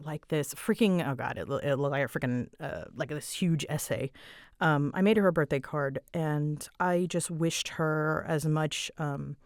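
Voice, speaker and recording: A young adult woman chatting casually as she answers fan questions, with an animated way of retelling things. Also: low voice, clear, resonant tone, no room sound, studio quality. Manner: chatty, animated retelling with quoted asides and giggles. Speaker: audio roleplay creator answering fan questions. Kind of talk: casual Q&A chat.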